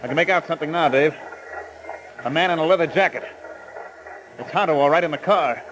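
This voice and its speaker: An actor playing an alert, tense sidekick agent, excitably reporting what he sees in 1940s radio style. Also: a little high, brisk, lightly acted, rough recording. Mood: excited.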